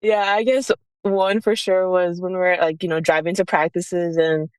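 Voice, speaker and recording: A woman's voice: fairly low pitch, level tone, normal speed, smooth, no echo. A woman in her early twenties from the United States, chatting casually as an interview guest, peppering her talk with "like" and "you know". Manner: informal, frequent "like" and "you know". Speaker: college basketball player, interview guest.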